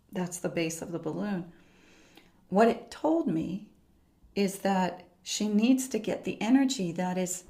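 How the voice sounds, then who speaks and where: A middle-aged woman voice teacher explaining her method as a podcast guest, in a calm, measured, unhurried way. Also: fairly low pitch.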